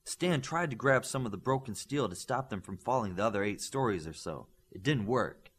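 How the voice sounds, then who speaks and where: A man narrating a superhero story as an audiobook, reading from a script with dramatic, deliberate phrasing. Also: lowish voice, lively intonation, a bit bright, slight background noise.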